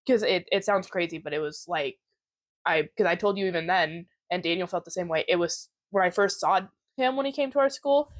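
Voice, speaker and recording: A woman's voice: fairly low pitch, brisk, no room sound, studio quality. A young woman in her twenties hosting a podcast, talking candidly and laughing often in casual chat. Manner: chatty, giggly, candid. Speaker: podcast host.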